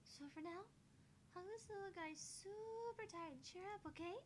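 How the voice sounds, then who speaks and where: A man voicing a sweet, caring young girl from a visual novel in a soft, cutesy way. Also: extremely high pitch, normal speed, slight background noise, voice pushed up.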